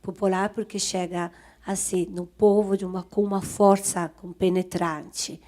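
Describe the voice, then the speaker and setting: A woman's voice: medium pitch, little pitch movement, measured pace, dark tone. A woman singer answering questions as a guest in a TV studio, enthusiastic and sometimes searching for words. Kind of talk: interview answers.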